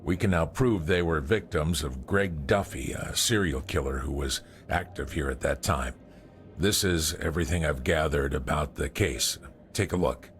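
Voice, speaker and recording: An actor playing a solemn, courteous small-town sheriff in a video game cutscene. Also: male voice, extremely low pitch, normal speed, soft background music.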